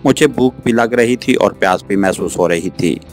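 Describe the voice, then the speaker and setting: A man reading a novel aloud as an audiobook narrator, in an earnest, expressive storytelling style. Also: fairly low pitch, moderate pace. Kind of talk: dramatic scripted novel narration. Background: background music.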